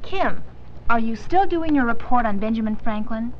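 Woman playing a wry, high-voiced, lively librarian. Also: moderate pace, slight background noise, lightly acted. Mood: brisk, helpful. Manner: gently wry, attentive. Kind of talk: 1980s educational film acting. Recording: muffled 1980s 16mm film soundtrack, faint music.

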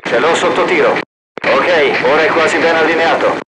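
A man's voice: a little high, normal speed, heavy background noise, natural voice. An actor playing a male mercenary gunship pilot making clipped radio callouts. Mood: focused. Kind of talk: video game voice acting.

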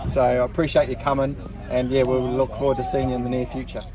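A middle-aged Australian man casually narrating a four-wheel-drive club trip to his phone camera outdoors, relaxed with lots of ums and dry humour. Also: medium pitch, quick pace, recorded from a distance, a loud crowd, low-quality recording. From Western Australia. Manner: laid-back, many ums, dry humour. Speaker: club member filming a trip vlog. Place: outdoors at a rural campsite.

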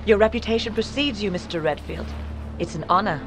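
A woman's voice: mid-range voice, brisk, natural voice. Woman acting a composed, businesslike field agent. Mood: courteous. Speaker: game character, field partner. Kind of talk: cinematic game acting. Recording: loud music bed behind.

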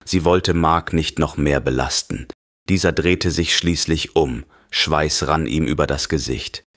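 Guy reading a horror audiobook, super deep voice, serious and measured, really dry recording. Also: a bit bright, no room sound. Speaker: audiobook narrator. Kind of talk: scripted horror story narration.

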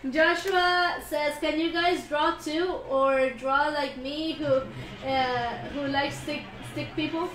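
A woman's voice: high voice, steady tone, takes it slowly, a bit bright, a little hiss or hum. A young woman co-hosting a casual livestream, reading out viewer comments in a giggly manner. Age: young adult. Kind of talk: casual bilingual livestream chat. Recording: roomy echo, music behind. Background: background music.

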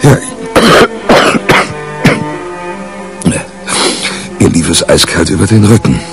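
A very low, gravelly male reporter in a radio crime play. He grumbles colloquially and mocks himself, his pitch swinging in a lively way. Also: fast, over music. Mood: grim, wry. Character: disgruntled, self-mocking male newspaper crime reporter. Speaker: actor narrating as the lead character. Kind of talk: radio crime drama, colloquial first-person narration.

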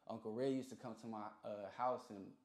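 A young man with a fairly low voice speaks as a guest in a casual interview. He is emphatic and proud, leaning on street slang and swearing. The sound is roomy, with a noticeable echo. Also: steady tone, normal speed, a little mellow. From Hawaiian, Pacific Islander background. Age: young adult. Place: small music studio, indoors. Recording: roomy, slightly echoey sound.

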